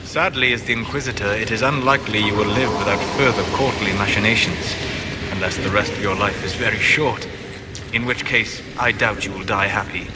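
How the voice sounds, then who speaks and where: An actor playing a calm, scholarly elven mage in a video game, speaking in a measured, formal and articulate way. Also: male voice, on the low side, close to an everyday voice. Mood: composed, wry. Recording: game audio with background noise.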